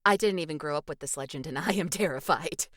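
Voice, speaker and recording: A woman's voice: low-pitched, fast, fairly bright tone, dry recording, studio quality. A woman co-hosting a podcast, joking and laughing along with her friend. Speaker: podcast co-host. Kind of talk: casual chat.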